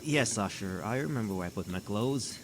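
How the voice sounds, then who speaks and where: Audio drama doctor, male actor: lively intonation, mid-pitched, weary and grumbling, some noise behind. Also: a little fast. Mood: exasperated. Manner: hesitant, sighing, exasperated.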